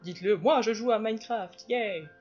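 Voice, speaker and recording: A chatty woman talks through her drawing in a loose, rambling way, often drifting into asides. Her voice sits at an ordinary middle pitch. The sound is a bit dull and lo-fi, with faint music underneath. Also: moderate pace, a little mellow. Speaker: illustrator and video host. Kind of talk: casual drawing commentary.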